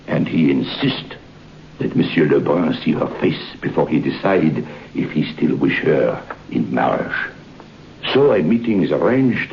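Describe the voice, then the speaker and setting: A male actor playing a grave French waiter in an old-time radio horror drama, speaking formal, halting broken English with a French accent. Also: rumbling low, creaky, exaggerated voice. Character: grave French waiter telling a ghostly tragic tale. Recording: muffled old radio recording with hiss.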